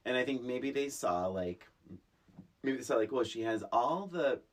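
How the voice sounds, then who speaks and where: A woman with an extremely low, raspy voice and lively intonation, co-hosting a podcast. She talks through a film casually and haltingly, acting out the lines she quotes. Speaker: podcast co-host.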